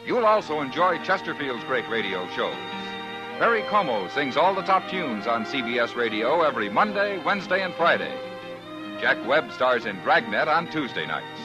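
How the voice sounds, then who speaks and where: A man's voice: medium pitch, very animated, normal speed, over music. An American man announcing a 1950s radio program in a scripted style, on a vintage recording.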